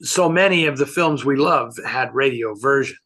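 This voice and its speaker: A man's voice: medium pitch, moderate pace, pushed and bright. An older man with an American accent, chatting casually and telling stories as a co-host. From San Francisco area, United States. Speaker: co-host answering fan questions. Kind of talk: casual chat, reminiscing.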